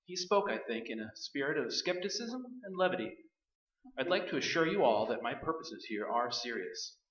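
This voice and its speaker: Echoey distant recording, a man playing a pompous psychic researcher, medium pitch, formal, deliberate, grave radio drama. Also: very animated, a bit bright. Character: solemn, pompous male doctor of psychic research. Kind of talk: staged radio play acting. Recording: roomy stage recording, distant, dull.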